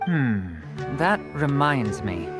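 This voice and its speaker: A man playing a friendly, smooth-talking merchant in a game, telling stories with persuasive salesman patter. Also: mid-range voice, sing-song, extremely slow. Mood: earnest, friendly. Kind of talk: animated game voice acting. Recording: music behind the voice.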